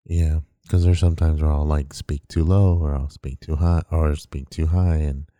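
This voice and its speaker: A man with a very deep baritone voice, chatting casually as a podcast co-host in a relaxed, rambling way with lots of fillers. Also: normal speed, no room sound, clean studio sound.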